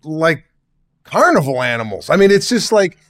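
A man's voice: a little high, sing-song, normal speed, a bit bright. A man hosting a casual talk show, a comedian and father who rambles with jokey comparisons. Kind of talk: casual chat.

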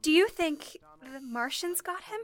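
An actress playing a worried, subdued young telephone operator in a 1930s-style radio play, speaking deferentially. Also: female voice, high voice, lively intonation, a little slow.

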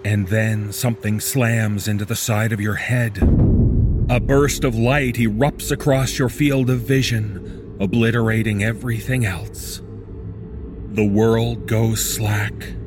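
A man reading a scripted second-person horror story in a measured, deliberate manner. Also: fairly low pitch, steady tone, over music.

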